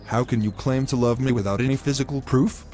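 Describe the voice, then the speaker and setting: A man's voice: medium pitch, brisk, darkish tone, faint music behind, lightly acted. A man playing a pushy, selfish young boyfriend in a scripted moral story, speaking in formal, wordy, insistent phrases. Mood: insistent, aggrieved.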